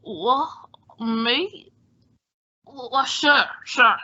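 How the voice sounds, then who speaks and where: A woman's voice: high voice, extremely slow, bright, forceful tone, slightly rough, dull audio, full character voice. A woman playing a shady, smug, boozy male con-man doctor in an old-time radio comedy. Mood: drunk, jovial.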